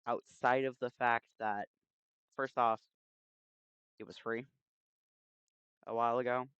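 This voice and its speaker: A man chatting casually and haltingly as he hosts a livestream, speaking into a headset mic. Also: mid-range voice, flat delivery, fairly bright tone, clear, resonant tone, no echo, muffled recording. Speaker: game streamer, host. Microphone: new headset microphone.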